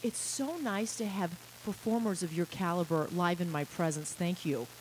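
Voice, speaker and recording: A woman's voice: lowish voice, moderate pace, a little mellow, rough recording. A woman hosting a live radio interview show, bubbly and effusive with her guests. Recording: faint tape hiss.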